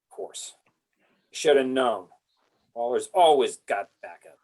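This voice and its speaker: A man's voice: mid-range voice, animated, slow, a little mellow. A man playing a principled, blunt military colonel, profane and indignant, in a script table read. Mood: bitter resolve.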